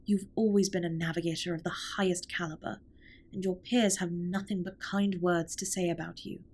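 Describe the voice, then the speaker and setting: A woman playing a formal, earnest, caring alien spaceship captain in a scripted comfort roleplay, speaking softly and breathily with measured, precise wording. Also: fairly low pitch, steady tone, slightly rough. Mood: earnest, supportive. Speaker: audio roleplay performer. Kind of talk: scripted comfort roleplay, intimate one-sided dialogue.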